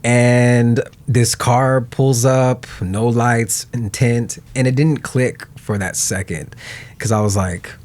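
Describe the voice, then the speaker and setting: A man's voice: mid-range voice, takes it slowly. A man in his twenties from Nebraska, a podcast guest telling a story in casual chat and acting out what others said, laughing often. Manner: animated storyteller who acts out quotes, laughs often.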